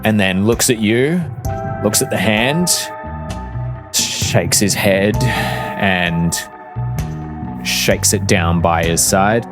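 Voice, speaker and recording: A male game master narrates a fantasy tabletop scene. His voice is low and his pace slow, with clearly audible music behind him.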